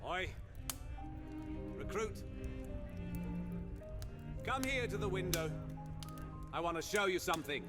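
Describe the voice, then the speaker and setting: A man's voice: high voice, lively intonation, slow pace, pauses often. A man playing a roguish, irreverent monster hunter knight in a fantasy audio roleplay, talking in a theatrical, conspiratorial way. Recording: long hall reverb under music.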